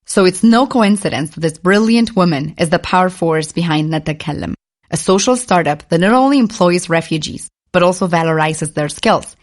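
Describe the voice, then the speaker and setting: Upbeat woman podcast host. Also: fairly low pitch. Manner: upbeat and eager. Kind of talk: scripted intro and interview questions.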